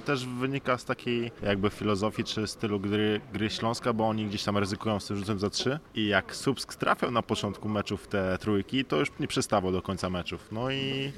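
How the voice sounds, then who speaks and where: A man's voice: fairly low pitch, a bit bright. A man, an athlete guest, giving casual game analysis in a hesitant way, circling back over his words. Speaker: guest, professional basketball player. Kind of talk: casual sports analysis in an interview. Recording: faint background noise and ambience.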